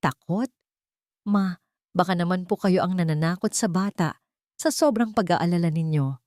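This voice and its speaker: A woman's voice: lowish voice, normal speed, darkish tone, dry recording, studio quality, natural voice. A woman voicing an irritated, defensive wife and daughter in a story, curt and dismissive in manner.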